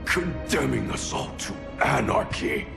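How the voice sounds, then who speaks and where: An actor voicing a gruff, wary, defiant male fighter with a gravelly voice in a video game. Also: medium pitch, very animated, a little slow, over music, heavily acted. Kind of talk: video game character acting.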